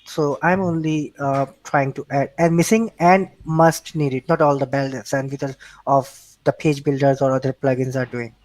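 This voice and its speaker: A man's voice: medium pitch, fairly even intonation, moderate pace, darkish tone, no echo. A man from Pakistan with a Pakistani accent, a native Urdu speaker, answering interview questions in a hesitant way with many restarts and fillers. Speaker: interview guest. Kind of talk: casual remote interview.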